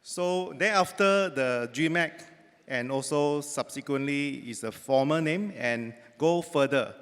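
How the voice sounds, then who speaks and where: A man's voice: mid-range voice, a bit bright, clean tone. A man interpreting for a panel speaker, fluent but loosely phrased, with fillers. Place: large conference hall. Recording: reverberant conference hall.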